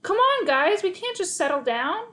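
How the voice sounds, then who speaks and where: A woman voicing a flustered, excitable young dog walker in a children's storybook, pleading and calling out in exclamations. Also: very high-pitched, normal speed, pitched above the performer's own voice, heavily acted. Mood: exasperated pleading.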